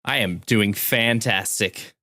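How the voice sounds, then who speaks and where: An American man speaks as a podcast co-host in casual conversation. His voice sits a little low for a man. The sound is close and dry, with no room echo. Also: slow, bright, forceful tone.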